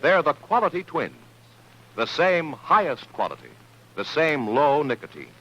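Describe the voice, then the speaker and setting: A man's voice: a little high, very animated, unhurried, sharp tone. A man reading a 1950s radio advertisement as an announcer, with an upbeat, punchy salesman's delivery. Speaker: commercial announcer. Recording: dull vintage radio recording.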